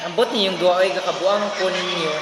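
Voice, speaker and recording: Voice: mid-range voice, steady tone, brisk, very noisy, close to an everyday voice. A performer playing a young provincial student, casual and playfully exasperated with friends, in an amateur radio drama recorded in an echoey room.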